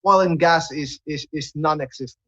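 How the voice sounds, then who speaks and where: A man hosting a conversational radio interview, speaking haltingly and repeating words as he looks for phrasing. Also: a little high, pushed and bright, husky. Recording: dull, lo-fi audio.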